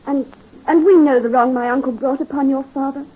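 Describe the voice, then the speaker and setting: A woman's voice: high-pitched, brisk, lightly acted. An actress playing an innocent young woman who falls in love and marries, in a 1930s radio drama. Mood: sweet. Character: sweet innocent young bride. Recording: thin, tinny 1930s radio recording.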